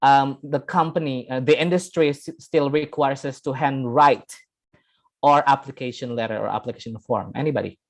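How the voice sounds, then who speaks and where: A man with an Indonesian accent teaching an English class online, animated and laughing often while he leads discussion. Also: medium pitch, normal speed. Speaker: English lecturer.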